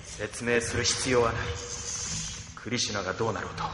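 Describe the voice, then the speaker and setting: An actor playing a proud, vengeful rival pilot in a Japanese anime audio drama, taunting and forceful. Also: male voice, mid-range voice, steady tone, fairly quick, noisy background, close to an everyday voice. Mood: cold defiance. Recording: echoing reverb over loud dramatic music.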